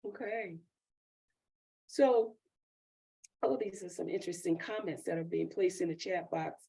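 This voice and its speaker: A woman's voice: on the low side, unhurried, creaky, dark tone, breathy edge, poor audio. A woman moderating an online Q&A, reading out audience questions with hesitant fillers. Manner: hesitant, reads questions aloud. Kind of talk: hosting an online Q&A.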